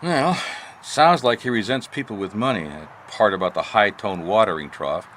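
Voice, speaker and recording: A man's voice: on the low side, animated, moderate pace, fairly bright tone, a little hiss or hum. A man playing a laconic, dogged private detective in a noir radio mystery, casual, wry and probing.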